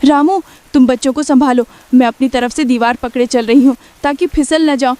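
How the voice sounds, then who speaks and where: A woman's voice: sits high, fairly even intonation, moderate pace, mellow, lightly acted. A woman playing a worried, caring poor village mother in a Hindi cartoon story, earnestly instructing and protecting her family. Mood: worried, urgent.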